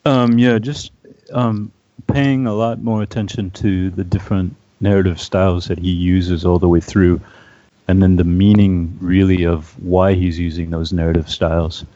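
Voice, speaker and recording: Deep, mellow-voiced man. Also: steady tone, normal speed, no echo, muffled recording. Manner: hesitant, many fillers. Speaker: book club member. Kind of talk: casual discussion.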